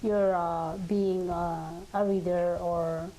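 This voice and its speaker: A woman's voice: lowish voice, steady tone, slow pace, warm tone. Female talk show host, Filipino accent, teasing and chatty, slightly noisy recording. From Philippines. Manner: teasing, interjecting, non-native English phrasing. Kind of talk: casual live interview.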